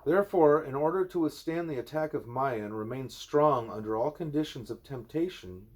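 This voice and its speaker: Man reading a spiritual letter earnestly. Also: medium pitch. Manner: measured, earnest reading with deliberate pauses. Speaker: reader of a spiritual book.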